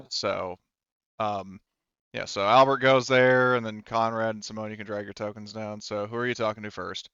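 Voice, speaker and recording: A man's voice: fairly low pitch, fairly even intonation, moderate pace, a bit bright, clean tone, dry recording, highs cut off. A man running a tabletop game as game master, talking casually and informally.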